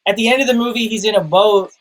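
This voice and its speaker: A man's voice: sits high, steady tone, fast, fairly bright tone. A man talking casually as a film review co-host, explaining his points and often checking in with listeners. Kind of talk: casual film analysis chat.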